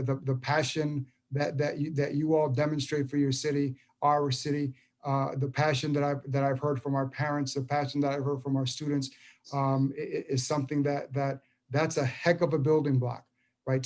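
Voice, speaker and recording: A man in his fifties, a school superintendent, addressing a public meeting by video call in a halting way with many repeated words and restarts. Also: on the high side, darkish tone.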